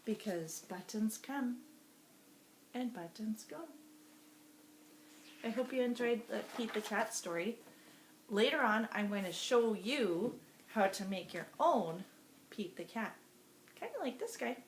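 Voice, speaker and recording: A woman teacher reading a storybook aloud to young children in an expressive, sing-song way, asking them questions as she goes. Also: fairly high pitch, takes it slowly, pauses often. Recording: roomy echo with faint background noise.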